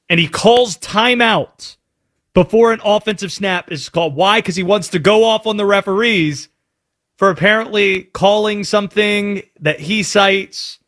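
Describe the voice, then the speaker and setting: A man with an American accent hosting sports talk in an emphatic, animated way, often asking rhetorical questions. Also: high-pitched. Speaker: sports talk show host.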